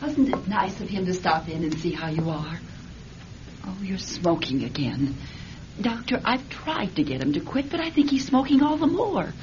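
An actress playing a meek, fussing housewife in a classic radio drama, chatty, apologetic and easily bewildered. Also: female voice, on the low side, sing-song, normal speed. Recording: muffled, noisy old radio broadcast.

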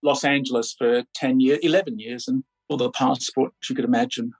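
A middle-aged man born in Scotland and raised in Australia, a podcast guest chatting in a relaxed, rambling way with many fillers. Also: medium pitch, fairly quick, husky. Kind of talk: casual interview chat.

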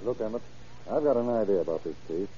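An actor playing a steady, purposeful police officer in a vintage radio crime drama, matter-of-fact and methodical. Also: male voice, fairly low pitch, fast talker, music in the background, natural voice. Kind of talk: 1940s-50s radio crime drama acting. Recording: dull vintage radio recording.

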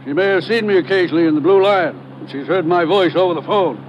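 Actor as a formal, menacing radio villain, higher-pitched. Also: male voice, fast, natural voice. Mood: cold, commanding. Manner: formal, precise, commanding. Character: clipped, polite, menacing male criminal mastermind. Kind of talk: 1950s radio adventure acting. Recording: dull, faintly noisy old radio recording.

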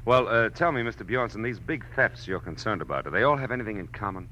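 An American actor playing a hard-boiled, world-weary private detective in a 1940s radio drama, wry and deadpan in his delivery. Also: lowish voice, fast talker, dull audio, a little hiss or hum. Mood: cool probing. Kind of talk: 1940s radio detective acting, first-person narration. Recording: old radio recording with music.

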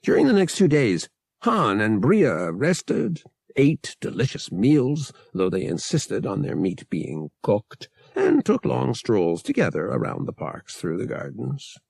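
Male sci-fi audiobook narrator, creaky and animated in pitch, measured storytelling, very dry close sound. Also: no room sound. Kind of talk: scripted narration of a science fiction novel.

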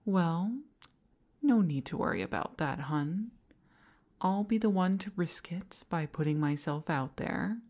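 Woman acting a low, slow, possessive seductress boss. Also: animated, darkish tone. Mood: coy, seductive. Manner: breathy half-whispers, drawn-out coaxing, condescending sweetness. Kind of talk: intimate romantic audio roleplay.

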